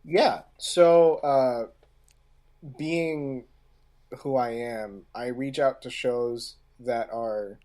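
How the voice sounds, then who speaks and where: A man co-hosting a casual podcast discussion, speaking haltingly and often pausing in the middle of a phrase. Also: mid-range voice, mellow. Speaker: podcast co-host.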